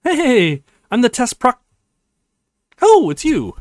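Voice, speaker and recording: A man's voice: extremely high pitch, wildly swooping pitch, slow, dry recording, studio quality, pitched above the performer's own voice, exaggerated voice. A man voicing a bubbly, teasing young college woman, an affectionate girlfriend in a visual novel. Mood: surprised.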